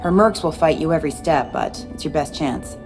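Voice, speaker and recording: A woman's voice: low-pitched, lively intonation, brisk, over music. A woman playing a tough, cynical former security chief in video game dialogue, blunt and guarded. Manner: blunt, matter-of-fact.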